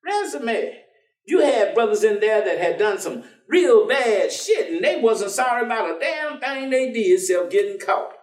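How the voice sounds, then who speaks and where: A warm American ex-con preacher on stage, folksy and slangy. His high voice swings widely as he teases and spins stories. He is heard from a distance in an echoey theatre. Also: sing-song, normal speed. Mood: reflective. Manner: folksy slangy drawling storyteller, teasing, chuckling. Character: warm, streetwise ex-convict man turned preacher, stubbornly cheerful.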